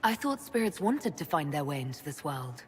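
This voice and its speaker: An actress playing a composed, supportive leader and adventurer in a video game, speaking in a direct, matter-of-fact way. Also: female voice, sits low, sing-song, moderate pace. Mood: calm, concerned. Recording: faint background noise.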